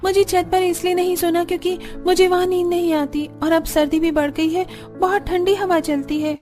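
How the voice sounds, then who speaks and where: Fed-up cartoon village bride, voiced by a woman: very high, emphatic, complaining, soft music behind. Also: flat delivery, normal speed. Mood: protesting. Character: young village daughter-in-law, fed-up and scheming.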